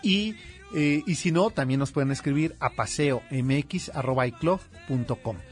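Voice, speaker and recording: A man's voice: on the high side, moderate pace, faint music behind. A man with a Mexican accent hosting a casual radio show, hesitant and searching for words as he talks.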